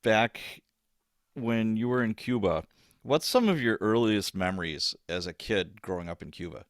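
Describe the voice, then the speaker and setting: A man's voice: on the low side, expressive, normal speed, no echo, clean studio sound. A man hosting a podcast, asking questions in a casual, informal and loosely structured way. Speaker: podcast host, interviewer. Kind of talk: casual interview.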